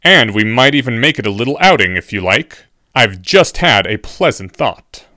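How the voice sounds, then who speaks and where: A man's voice: mid-range voice, expressive, normal speed, bright, forceful tone, dry recording, clean studio sound. A man voicing a menacing, sardonic professor in an audiobook story, formal and biting in his speech. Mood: dry, amused.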